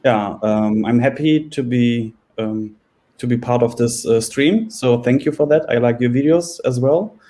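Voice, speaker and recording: A German man speaks English with a German accent in a warm, mellow voice. He pauses often and leans on fillers as he hunts for words. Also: mid-range voice. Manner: hesitant, many fillers. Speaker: guest developer.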